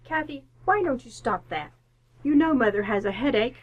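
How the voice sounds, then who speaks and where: A woman's voice: fairly high pitch, lively intonation, normal speed. A woman playing a helpful, dutiful teenage daughter in an old-time radio family comedy, slightly muffled in the recording. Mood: scolding.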